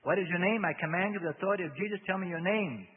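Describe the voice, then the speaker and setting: A man playing a firm, commanding exorcist in a story, speaking insistently and forcefully. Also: fairly high pitch, animated, fast, breathy edge, voice pushed up. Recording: tinny, narrow-band radio-like sound.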